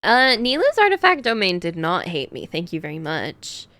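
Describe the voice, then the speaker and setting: A woman's voice: fairly low pitch, wildly swooping pitch, normal speed, bright, forceful tone, no room sound. A woman streamer chatting casually on a livestream, thinking aloud about game builds and laughing often.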